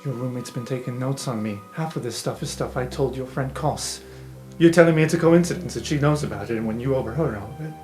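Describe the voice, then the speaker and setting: A man acts out a young male dark elf student in a tabletop roleplay. He is sullen and guarded, with a sarcastic, defensive edge, and music plays clearly behind him. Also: medium pitch, fast, close to an everyday voice. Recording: music under the voice.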